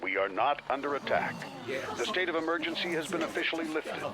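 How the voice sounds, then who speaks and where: An American actor playing a measured, authoritative emergency radio announcer in a formal broadcast drama. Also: male voice, a little high, sing-song, a crowd in the background. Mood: reassuring.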